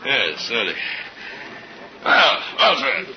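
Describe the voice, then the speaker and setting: An actor in a 1950s radio drama playing a beefy, needling cop who poses as a pushy drunk, talking in a folksy, goading way. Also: male voice, mid-range voice, wildly swooping pitch, very slow, vocal fry, full character voice. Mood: tipsy, pushy. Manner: folksy, goading, tacks "huh" onto questions. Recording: muffled, noisy 1950s radio recording.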